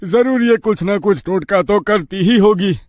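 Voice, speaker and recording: A man's voice: high-pitched, unhurried. A man playing a worried, suspicious father, fretful and brooding, in a family horror story.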